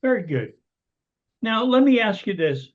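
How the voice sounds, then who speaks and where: Man, host, high voice, friendly, rambling questions, dull lo-fi sound. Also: very animated, measured pace. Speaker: interview host. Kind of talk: casual interview questions. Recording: dull lo-fi audio.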